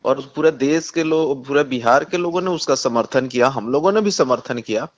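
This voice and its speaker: A man with a Bihari accent speaking as a politician in a phone interview, insistent, repeating himself and halting as he argues. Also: medium pitch. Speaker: communist party politician. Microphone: phone call. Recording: dull lo-fi phone call recording.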